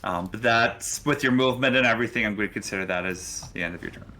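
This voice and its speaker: A man's voice: fairly low pitch, quick pace, bright, forceful tone. A man narrating a tabletop roleplaying game as the game master.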